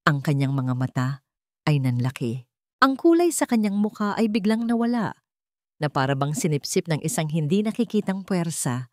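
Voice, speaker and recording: Deep-ish lady narrator doing dramatic story reading, measured pauses, really dry recording. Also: very animated, no room sound.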